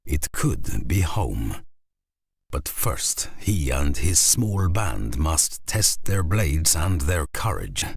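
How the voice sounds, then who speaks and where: An actor narrating a Viking game as a solemn saga storyteller, husky and grave, telling it in measured phrases. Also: male voice, extremely low pitch, very animated, no echo, studio quality, full character voice.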